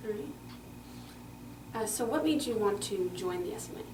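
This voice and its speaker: A woman's voice: mid-range voice, animated, measured pace, a little mellow. A woman interviewer asking questions in an upbeat, polite way. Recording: roomy, noisy, distant mic.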